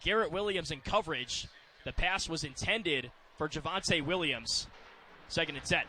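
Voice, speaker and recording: An American man works as a radio football announcer, calling the action live. His voice is bright and forward, pitched on the high side. He describes the field in clipped phrases. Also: normal speed, a little hiss or hum, poor audio.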